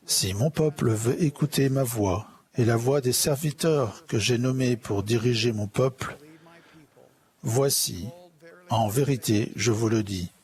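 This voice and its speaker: Solemn man giving a measured sermon. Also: medium pitch, darkish tone. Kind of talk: formal sermon delivered in translation.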